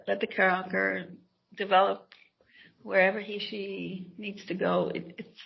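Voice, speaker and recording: A woman's voice: medium pitch, flat delivery, a little slow, vocal fry, breathy edge, lo-fi sound. A middle-aged woman whose first language is Hebrew, an author answering interview questions in a hesitant, reflective way with many restarts.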